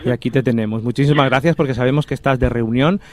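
A man's voice: medium pitch, fairly even intonation, quick pace. A man with a Spanish accent hosting a live interview as a radio presenter in a studio. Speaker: radio show host. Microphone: studio microphone with headphones.

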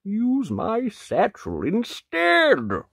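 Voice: mid-range voice, wildly swooping pitch, drawn-out words, gravelly, fairly bright tone, husky, no room sound, studio quality, voice pushed up, heavily acted. A storyteller voicing a kind, clever male wolf who nervously hopes to be a hero. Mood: helpful.

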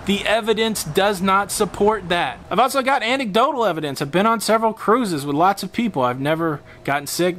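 High-voiced man arguing on a vlog. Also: a bit bright, a little hiss or hum. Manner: argumentative, rhetorical questions, punchy emphasis. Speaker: host of a cruise travel channel. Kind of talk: casual opinion vlog. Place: screened pool patio outdoors.

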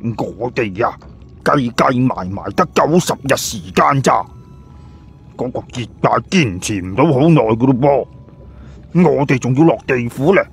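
Audiobook sidekick, played by a male reader: male, heavily husky, fretful, nagging, full of doubting questions. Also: mid-range voice, expressive, unhurried, heavily acted. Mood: nagging, worried. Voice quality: husky, rough. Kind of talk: audiobook character dialogue.

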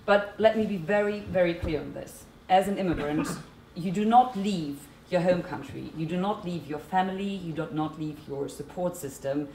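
A woman with a German accent speaks at a panel table, her voice notably low and her words earnest and emphatic. The room around her is echoey, with a faint background hum. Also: moderate pace, dark tone. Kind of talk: panel discussion talk. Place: seminar room at a conference table.

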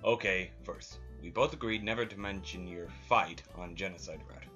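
A man's voice: sits low, moderate pace, sharp tone, muffled recording, faint music behind. A man voicing a laid-back, joking skeleton big brother in a fan comic dub. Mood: deadpan. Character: laid-back male skeleton joker, protective older brother.

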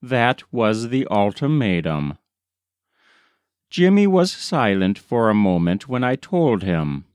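A man reads a short story aloud as an audiobook narrator. His pace is slow and his pitch moves expressively up and down. The recording is dry and close, with little room sound. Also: big pitch swings, darkish tone, smooth, dry recording.

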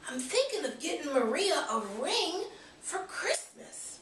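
Woman playing an earnest, excitable janitor, high-voiced. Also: measured pace, very noisy, heavily acted. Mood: earnest, confiding. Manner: chatty, hesitant, confiding. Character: earnest, excitable middle-aged male janitor in love. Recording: roomy, distant camera microphone.

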